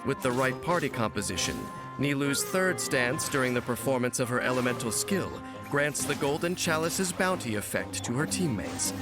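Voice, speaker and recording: A man performs a solemn, world-weary warrior narrating a fantasy game trailer. His delivery is formal and measured at a middling male pitch, with prominent instrumental music behind him. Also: animated, natural voice. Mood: measured, instructive.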